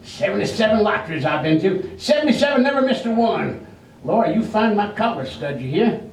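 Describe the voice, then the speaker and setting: A distant, echoey stage recording of a man acting a stubborn old country grandfather. He fusses in a folksy way, his high voice rising and falling freely. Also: expressive, fairly quick, creaky. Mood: fretful. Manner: fretful, folksy. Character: cranky, stubborn old small-town grandfather. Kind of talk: readers theater stage acting. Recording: echoey, distant, faintly noisy room.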